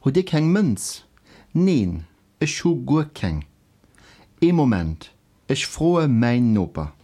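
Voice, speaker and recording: A man teaching in a scripted language lesson, explaining didactically and enunciating examples slowly and deliberately. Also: medium pitch, big pitch swings, mellow.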